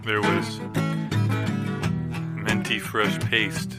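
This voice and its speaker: A man playing a deadpan, unhurried fellow recounting his absurdly disastrous day in a comic monologue. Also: fairly low pitch. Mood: resigned, deadpan. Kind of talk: improvised comic monologue over guitar. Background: acoustic guitar music.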